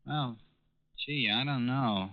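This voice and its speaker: An actor in a 1940s radio drama playing a naive, hesitant, good-natured teenage factory worker in a boyish, slangy way. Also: male voice, medium pitch, takes it slowly, no room sound. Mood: hesitant, curious. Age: young adult. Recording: dull vintage radio recording.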